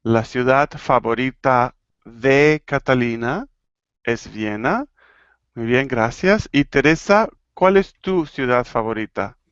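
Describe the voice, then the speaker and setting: A man from Argentina teaching an online Spanish class, playful and clear, slowing down to model phrases. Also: medium pitch, expressive, a bit bright, dry recording, lo-fi sound. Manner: playful, laughing, slow and clear when teaching. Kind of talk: live online language class.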